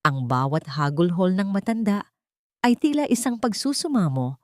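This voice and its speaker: A woman's voice: mid-range voice, animated, normal speed, a little mellow, no echo, studio quality. A woman with a Filipino accent reading a dramatic scripted story as an audiobook narrator.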